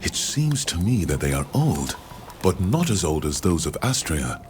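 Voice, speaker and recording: Deep-voiced, courteous foreign scholar. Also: male voice, very animated, a little mellow, soft background music. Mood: scholarly interest. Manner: measured, formal, precise wording. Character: courteous, learned male foreign scholar from the continent. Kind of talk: video game dialogue acting.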